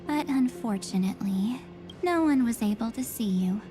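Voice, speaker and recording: A woman voicing an enigmatic young female memory spirit in a video game, coaxing and softly menacing, with slow, breathy delivery. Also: a little high, animated, over music, natural voice. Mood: grave, eerie. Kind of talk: scripted video game dialogue.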